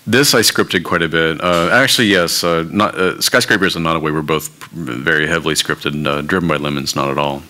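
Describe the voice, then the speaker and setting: Brief: deep-voiced male guest, hesitant and monotone, bright edge. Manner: halting, repeats words, self-described monotone. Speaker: guest cartoonist. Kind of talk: live panel conversation. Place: large hall stage.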